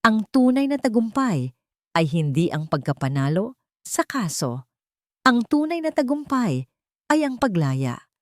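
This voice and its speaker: A woman's voice: fairly high pitch, sing-song, measured pace, long pauses, no echo, studio quality. A woman narrating an audio drama as a scripted, dramatic story.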